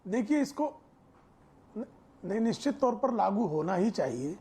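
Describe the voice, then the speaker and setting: High voiced man on a debate panel, speechy and emphatic, argues hard in formal words. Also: sing-song, measured pace, dark tone. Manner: emphatic, oratorical, formal vocabulary. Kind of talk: forceful political debate argument.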